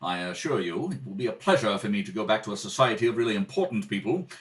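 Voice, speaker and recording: A man's voice: on the low side, animated, quick pace, distant mic, close to an everyday voice. A man playing a polite down-and-out fellow turned butler in a radio comedy, hesitant, courteous and dryly humorous. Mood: indignant. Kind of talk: 1930s radio comedy read-through. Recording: home recording, off from the mic.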